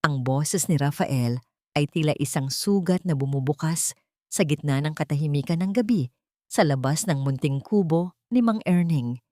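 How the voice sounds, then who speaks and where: A Filipino woman narrates a dramatic audio story. Her voice sits a little low and is recorded very dry. She delivers the lines with measured, emotive storytelling. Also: warm tone, no echo.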